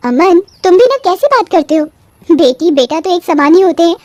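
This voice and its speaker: A woman playing a loving young wife and mother, emotional and expressive, in a cartoon drama. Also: very high-pitched, moderate pace, dry recording, pitched above the performer's own voice. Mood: happy, affectionate. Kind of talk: Hindi horror cartoon voice acting.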